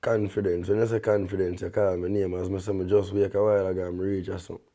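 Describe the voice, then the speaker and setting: A young man with a Jamaican accent speaks in a very low, dark, mellow bass. He talks in a laid-back way, in patois, as a guest artist on an interview podcast, checking in with his listener at the end of his sentences. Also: level tone, fast talker. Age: young adult. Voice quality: deep bass voice. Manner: laid-back, peppers talk with "you see me?".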